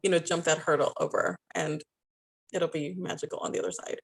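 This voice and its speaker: A woman's voice: low-pitched, steady tone, brisk, rough recording. A woman with a Spanish accent giving encouraging, informal advice as a guest in a conversational interview. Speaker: podcast guest, style coach and network marketer.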